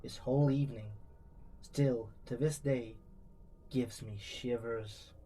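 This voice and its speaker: A man's voice: mid-range voice, fairly even intonation, slow, warm tone, slight background noise, poor audio. A man narrating creepy first-person true stories from a script, as a true crime storyteller.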